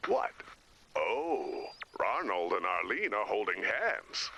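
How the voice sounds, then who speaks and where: A man playing an earnest, anxious, brainy young helper in a video game, with a very expressive delivery. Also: on the low side, slow pace, husky, lo-fi sound, heavily acted. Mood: puzzled. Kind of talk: video game character voice acting. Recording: loud music behind the voice.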